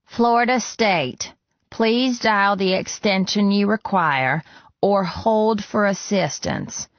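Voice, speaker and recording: A man voicing a brisk, formal woman who works a prison switchboard, with a recited phone manner. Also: high-pitched, a bit bright, no room sound, dull audio, pitched above the performer's own voice, heavily acted. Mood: flat, official.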